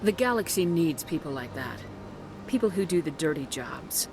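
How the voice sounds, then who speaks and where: An actress playing a tough, direct human military commander in a video game, firm and challenging. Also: female voice, expressive, normal speed, music in the background. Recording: noisy background rumble.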